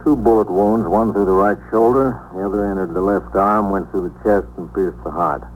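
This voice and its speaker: An actor playing a businesslike, obliging police detective reporting to a superior in a 1950s radio drama. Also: male voice, fairly low pitch, moderate pace, close to an everyday voice. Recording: muffled, noisy old 1950s radio recording.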